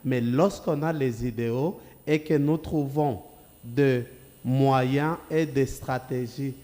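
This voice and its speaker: A man who chairs an NGO answers as a guest on a TV studio set in a measured, formal way. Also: mid-range voice, dark tone, long reverb tail. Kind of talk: TV studio interview.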